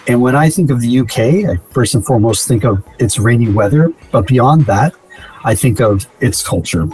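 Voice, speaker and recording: A man's voice: mid-range voice, lively intonation, normal speed, darkish tone, recorded from a distance, low-quality recording. A man giving a short prepared opinion. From Canada. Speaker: contributor giving a recorded opinion. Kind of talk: prepared statement.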